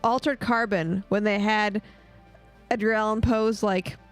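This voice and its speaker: A woman plays along as a contestant on a casual game show. She talks in halting, chatty bursts and giggles often. Her voice sits at an ordinary pitch, with faint music beneath. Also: fairly even intonation. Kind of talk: casual chat.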